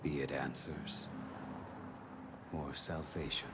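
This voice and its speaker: An actor playing a grim, brooding swordsman in a video game, cold and weary, with solemn, restrained and deliberate delivery. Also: very deep voice, steady tone, heavy background noise. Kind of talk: video game dramatic acting.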